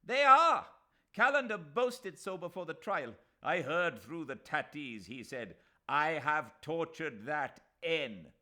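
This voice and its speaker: A male reader voicing a furious male agitator who yells every line, shrieking slogans. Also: fairly high pitch, big pitch swings, takes it slowly, pitched above the performer's own voice, heavily acted. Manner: shrieking, yelling.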